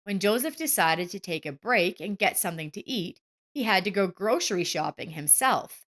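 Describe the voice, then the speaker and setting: A woman's voice: medium pitch, lively intonation, moderate pace, a bit bright. A woman narrator reading a scripted story.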